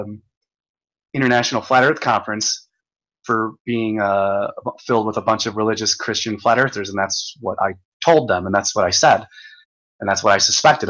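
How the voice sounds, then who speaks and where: Guy ranting on a livestream, voice getting hoarse, swears a lot, keeps repeating himself. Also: mid-range voice, fairly bright tone, poor audio. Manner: profane, repetitive, stumbling. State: voice going hoarse. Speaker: livestream host. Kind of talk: rambling livestream rant.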